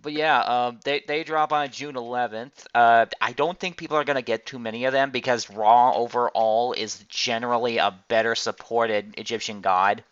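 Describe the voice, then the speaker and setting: A man's voice: mid-range voice, fairly even intonation, normal speed, hardly any pauses, fairly bright tone, clear, resonant tone, lo-fi sound. A man chatting casually about card games on an online podcast, talking as a host would. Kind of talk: casual card game chat. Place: online group voice call.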